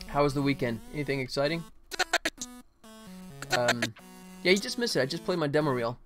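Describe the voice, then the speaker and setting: A man's voice: a little high, lively intonation, a little fast, over loud music. An American man from Atlanta hosting a casual livestream, chatting informally with viewers as he works on his game. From United States, Atlanta. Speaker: game developer and livestream host. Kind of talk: casual livestream chat while working.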